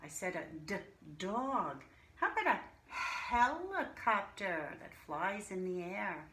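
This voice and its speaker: A woman teaching a reading lesson to young children, pronouncing single words deliberately and speaking to the children directly. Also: medium pitch, big pitch swings, bright, forceful tone. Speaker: primary school reading teacher. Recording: roomy echo, faint background noise.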